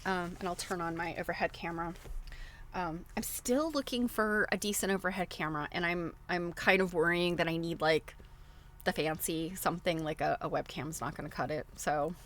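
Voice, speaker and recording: A woman with a slightly low voice talks as a livestream host. Her delivery is casual and conversational, wandering from thought to thought as she reasons things out loud for her viewers. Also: normal speed. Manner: rambling, thinking aloud with self-interjections.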